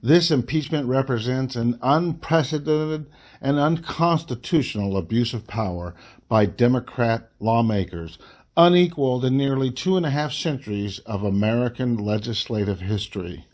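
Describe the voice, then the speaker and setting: A man reading a formal political letter aloud in an angry, indignant, emphatic voice, breaking phrases into short, deliberate pieces. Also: medium pitch, darkish tone.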